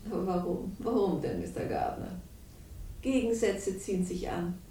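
An actress playing a shrewd, commanding rich widow of seventy in a 1960s radio crime drama, probing and self-assured. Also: female voice, normal speed, dark tone, slight background noise, natural voice. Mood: probing, amused. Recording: roomy, distant, rumbly old radio recording.

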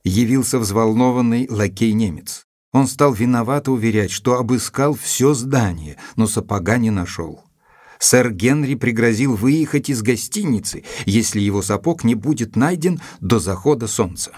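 An actor playing a composed gentleman doctor who narrates a detective story in a literary manner. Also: mid-range voice, animated, normal speed, no room sound, studio quality, lightly acted. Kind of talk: scripted narration.

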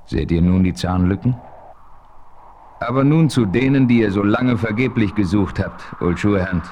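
An actor playing a seasoned frontier hero who narrates in a calm, measured, authoritative way in an old radio play. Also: male voice, on the low side, highs cut off, slight background noise, lightly acted. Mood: firm.

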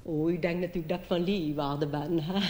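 Cheerfully provincial Limburg housewife acted by a woman, gossipy and exuberant, lowish pitch, live cabaret with noisy background. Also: fairly even intonation, normal speed, darkish tone, natural voice. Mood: bubbly, amused. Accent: southern Dutch, Limburg. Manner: chatty, giggly, exuberant. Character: bubbly, gossipy Maastricht mother, cheerfully provincial. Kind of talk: stage cabaret monologue. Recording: noisy live theatre recording.

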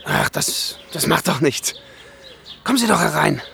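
Radio drama villain: sinister gentleman killer, gravelly, wildly swinging pitch, music underneath. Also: wildly swooping pitch, a little slow, slight background noise, full character voice. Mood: polite, guarded. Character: sinister, courteous male killer with a mad streak.

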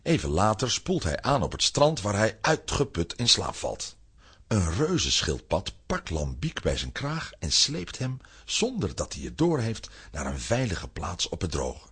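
A man with a husky voice narrating a scripted children's radio play as an animated storyteller. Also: low voice, unhurried.

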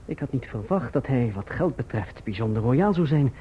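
An actor playing an earnest young gentleman who tells his own story in formal, measured language in a radio drama. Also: mid-range voice, expressive, a little hiss or hum, lightly acted. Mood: polite. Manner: formal, measured literary diction. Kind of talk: literary radio drama narration and dialogue. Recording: muffled, dull old radio drama recording.

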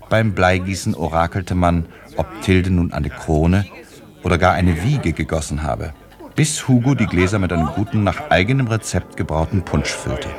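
Low-pitched male radio play narrator.

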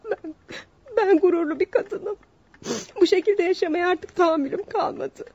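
An actress playing a proud, distraught young wife betrayed by her husband, pleading melodramatically and haltingly in an old radio play. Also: extremely high pitch, darkish tone. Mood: anguished. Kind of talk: old radio theater acting. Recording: dull lo-fi radio drama, partly phone-like.

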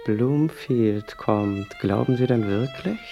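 Slow, creaky ticket hawker. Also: male voice, mid-range voice, faint music behind. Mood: sceptical. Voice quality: creaky, mellow. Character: mild, shabby, persistent little hawker of lottery tickets. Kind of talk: German radio play acting.

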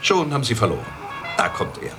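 A man's voice: mid-range voice, huge pitch swings, fast talker, loud music behind the voice. An actor playing an obsessive old eccentric who witnesses accidents, repeating his phrases theatrically in a German radio play. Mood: excited, eager. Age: older. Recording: faintly noisy old cassette radio recording.

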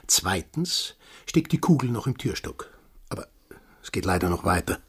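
An actor playing a bitter, stubborn accuser, insistent and sardonic, in a radio drama. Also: male voice, low voice, very animated, moderate pace, slightly rough, heavily acted. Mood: sardonic, accusing. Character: bitter, stubborn man accusing a village of murder.

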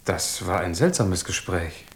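An actor playing a bewildered, wry, wealthy Englishman in a German radio drama, musing aloud in an animated manner. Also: low voice, unhurried. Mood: puzzled, musing.

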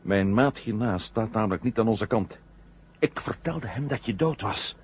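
An actor playing an alert, urgent astronaut on a lunar mission in a 1970 radio drama, heard through a thin, noisy link. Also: male voice, on the low side, expressive, moderate pace, close to an everyday voice. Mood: urgent, reassuring. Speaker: actor in a science fiction radio serial. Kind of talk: 1970 Dutch-language radio drama acting. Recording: tinny phone-like radio link, hum and noise.

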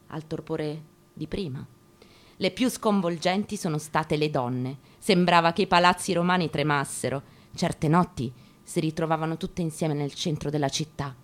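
Low female voice, dramatic radio theatre reading, solemn n declamatory, goes phrase by phrase. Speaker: actress reading on stage.

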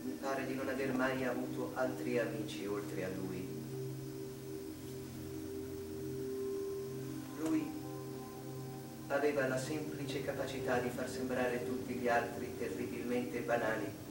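An actor playing an anguished, defiant mathematician in a theatrical, declamatory stage monologue, with deep emotion. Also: male voice, fairly even intonation, normal speed, loud music behind the voice, natural voice. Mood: hushed grief. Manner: declamatory, emotional, dramatic delivery. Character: anguished male mathematician, defiant, tender and grieving. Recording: very echoey, distant stage recording, faint noise.

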